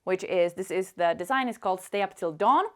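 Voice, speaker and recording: A woman's voice: medium pitch, fairly quick. Chatty Swedish-speaking woman. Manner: chatty, enthusiastic, many fillers and laughs. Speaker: knitting vlogger. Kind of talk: casual chat.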